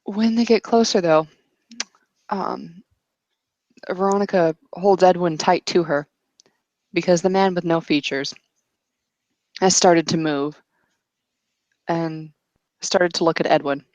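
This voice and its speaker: A woman narrating an improvised tabletop roleplaying story as game master, in a hesitant, descriptive way with pauses. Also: lowish voice, a little mellow, dry recording, highs cut off.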